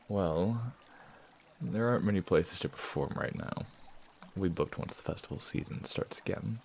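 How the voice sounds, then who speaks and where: A man voicing a sly traveling performer who teases and probes with smooth talk in an audio drama. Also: low voice, measured pace, pauses often, darkish tone, slight background noise. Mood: teasing, prying. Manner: teasing, probing, smooth-talking. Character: sly prying male traveling performer, teasing.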